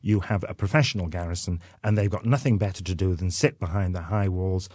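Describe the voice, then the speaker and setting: A man's voice: low voice, brisk, a little mellow, breathy edge, no room sound. A man from North Wales with a Welsh accent, explaining things as a guest expert in a radio interview, with dry wit.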